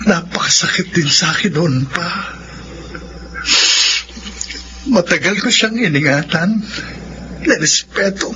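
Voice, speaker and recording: A man's voice: sits high, moderate pace, lightly acted. A man acting an anguished, hot-blooded young fiancé in a Filipino radio drama. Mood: pained. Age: young adult. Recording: muffled, noisy radio drama audio.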